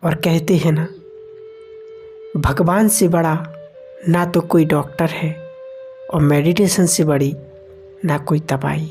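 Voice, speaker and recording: A woman leading a guided meditation in deliberate phrases with pauses between them. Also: low voice, steady tone, mellow. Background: meditation music.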